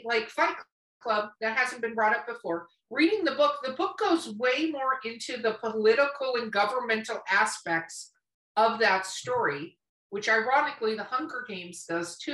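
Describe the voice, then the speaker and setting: Echoey, bright, high-voiced woman podcaster. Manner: blunt, emphatic, swears freely. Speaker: podcast co-host. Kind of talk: casual opinionated podcast chat. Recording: roomy echo, distant from mic.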